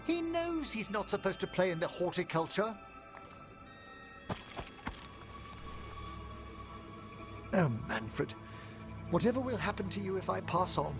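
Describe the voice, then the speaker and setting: Formal, expressive necromancer scholar over music. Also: male voice, sits high, moderate pace. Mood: exasperated fondness. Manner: formal, erudite, theatrical phrasing. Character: gentlemanly older necromancer scholar, courteous, anxious, reflective. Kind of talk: video game cutscene acting.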